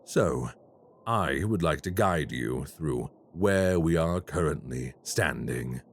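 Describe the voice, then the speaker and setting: An actor playing a polite, quietly menacing male crime boss in an audio roleplay. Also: rumbling low, lively intonation, slight background noise, heavily acted. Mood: smooth menace. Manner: slow, courteous and quietly menacing.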